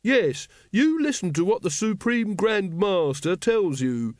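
A man's voice: high-pitched, sing-song, a little slow, darkish tone, no echo, studio quality, pitched above the performer's own voice. A man voicing a fawning, officious member of a secret order, obsequious in manner, in a comic fantasy storybook.

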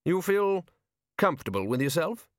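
A man voicing an urbane aristocratic ruler in an audiobook, measured and drily ironic. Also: a little high, very animated, dry recording, studio quality, pitched above the performer's own voice. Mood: dry irony. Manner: measured, deliberate, drily sardonic.

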